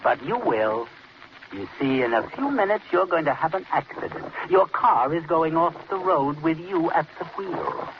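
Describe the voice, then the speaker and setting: A menacing, scheming husband with a fairly high voice. His theatrical 1940s radio delivery is deliberate and very animated. The recording is old and noisy. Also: dull audio. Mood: cold, menacing. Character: coldly scheming husband calmly plotting his wife's murder.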